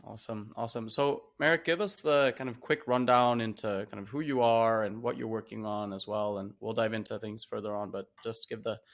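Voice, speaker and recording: A man hosting an interview podcast, introducing his guest and asking relaxed questions with filler words. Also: lowish voice, a little fast, few pauses. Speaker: podcast host.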